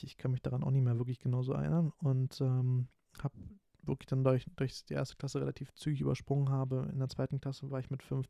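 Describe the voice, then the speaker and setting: A man's voice: mid-range voice, creaky, dark tone, dry recording, studio quality. A man in his twenties from Berlin hosting a solo podcast, talking casually and rambling with lots of fillers and restarts. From Berlin, Germany. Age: 20s. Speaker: podcast host.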